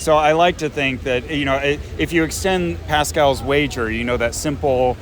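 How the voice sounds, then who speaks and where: A man's voice: fairly high pitch, normal speed. A man chatting casually as an interview guest, recorded outdoors in a garden. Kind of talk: casual chat. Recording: faint outdoor background noise.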